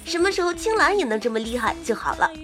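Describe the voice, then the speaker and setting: A woman's voice: high-pitched, very animated, fast talker, over music. A woman hosting an online show, reading scripted links as a presenter.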